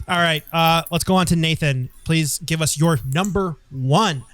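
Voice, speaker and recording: A man running a casual comedy podcast, judging rounds with dry, playful teasing. His voice sits a little higher than most men's. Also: moderate pace, fairly bright tone, no room sound. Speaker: podcast host and round judge.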